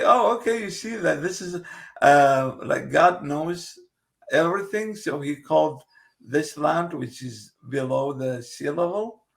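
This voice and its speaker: A man with Kurdish as his first language talks slowly and haltingly, filling gaps with pauses. His voice is gravelly and sits fairly high. He speaks as an interview guest explaining texts. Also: a bit bright. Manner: halting, many pauses and fillers. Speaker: guest, translator. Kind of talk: casual interview conversation.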